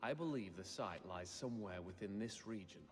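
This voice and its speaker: A man's voice: on the low side, expressive, normal speed, natural voice. A man playing an apologetic, formal scholar of a secret order in a video game. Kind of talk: video game cutscene acting. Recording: long echoing hall, faint music.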